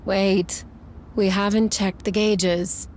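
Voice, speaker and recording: A woman's voice: medium pitch, flat delivery, takes it slowly, a little mellow, a little hiss or hum, natural voice. A woman playing a playful, teasing young woman in casual video game dialogue. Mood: matter-of-fact. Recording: music behind the voice.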